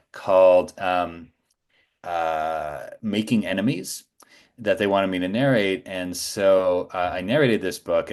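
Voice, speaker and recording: A man chatting casually as a guest on a podcast, talking in an enthusiastic, emphatic storytelling way. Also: sits low. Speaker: guest voice actor and audiobook narrator.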